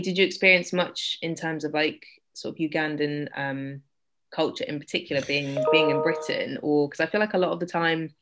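A woman hosting an interview, asking relaxed, encouraging questions after a scripted introduction. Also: sits low. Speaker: podcast host.

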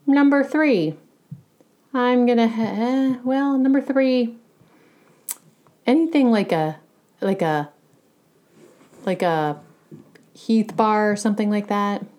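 An adult woman with a naturally loud voice, chatting casually as a podcast co-host. She talks in a playful, teasing way, joking with her partner. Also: a little high, slow pace, pauses often. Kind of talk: casual chat.

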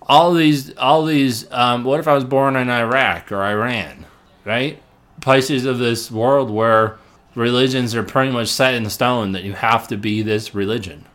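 A man's voice: medium pitch, measured pace, fairly bright tone. A middle-aged man from Illinois hosting a solo podcast, thinking aloud in a rambling way, restarting and repeating words, with small laughs and casual swearing. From Illinois, United States. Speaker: podcast host.

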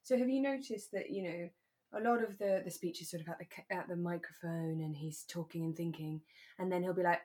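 A woman's voice: lowish voice, a little fast, a little mellow. A woman co-hosting a podcast, chatting casually and explaining things as she discusses a drama series. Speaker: podcast co-host. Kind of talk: casual chat reviewing a radio drama.

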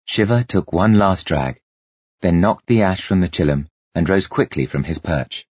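A man reads a fantasy novel as an audiobook narrator, with a very low voice. His delivery is measured and rather husky, and the sound is slightly dull. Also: expressive, a little mellow, no echo.

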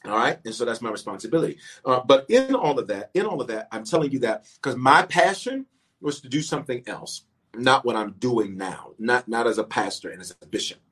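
A middle-aged male pastor and bishop preaching a livestreamed sermon, swinging from quiet asides to sudden emphatic peaks, repeating phrases and calling out to online viewers. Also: medium pitch, expressive, fairly quick. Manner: talks directly to online viewers, calling for waves and shout-outs.

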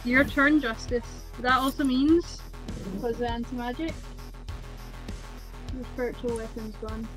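A woman's voice: on the high side, steady tone, measured pace, long pauses, a bit bright, rough recording. Woman game master over background music. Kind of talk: running a tabletop roleplaying game, casual. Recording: music playing behind the voice. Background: synth background music.

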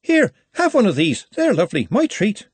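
A man's voice: sits high, huge pitch swings, moderate pace, breathy edge, dry recording, pitched above the performer's own voice, full character voice. A man voicing a cheerful, motherly woman who sells seafood, in an audiobook. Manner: chirpy, chatty hawking. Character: cheerful self-made market fishwife, brisk and motherly.

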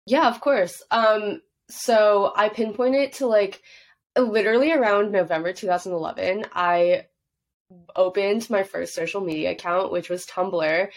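A woman's voice: mid-range voice, measured pace. A young woman in her twenties who grew up in Hong Kong, chatting openly as a podcast guest with lots of "like" fillers. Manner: chatty, heavy use of "like", laughs easily. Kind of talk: casual interview chat.

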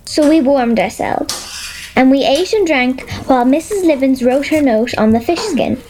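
Menina irlandesa de voz aguda. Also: female voice, high-pitched, measured pace, darkish tone, slight background noise, rough recording. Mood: storytelling. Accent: Irish. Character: earnest, brave young girl on a quest. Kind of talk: children's audio drama acting and narration.

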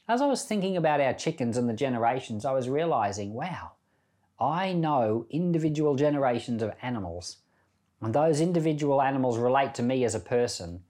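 A middle-aged man giving Bible reading and devotional commentary in an earnest, conversational way with deliberate phrasing. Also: mid-range voice, a little mellow.

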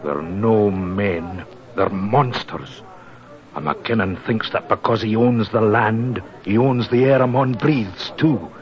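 A grim Scottish village innkeeper in an old radio play. His voice is low and folksy, respectful yet full of foreboding. The sound is that of a dull 1940s broadcast. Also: slow pace. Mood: grim, bitter. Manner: ominous, deferential, folksy. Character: grim, superstitious Scottish village innkeeper who hates the local laird.

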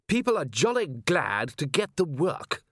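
Audiobook villain, played by a male narrator: self-important factory boss, pompous, blustering, animated pitch. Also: unhurried, a little hiss or hum, exaggerated voice. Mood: defensive, blustering.

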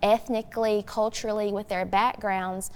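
Teenage girl, medium pitch, articulate and earnest, explanatory, long reverb. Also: flat delivery, moderate pace, clear, resonant tone. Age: teens. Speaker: youth guest. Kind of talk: thoughtful spoken discussion. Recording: long hollow room reverb.

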